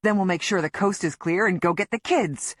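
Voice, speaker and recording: A woman's voice: fairly low pitch, fairly quick, no room sound, clean studio sound, lightly acted. A woman reading an audiobook and voicing a desperate, violent man on the run who snarls threats. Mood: pleading. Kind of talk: audiobook narration.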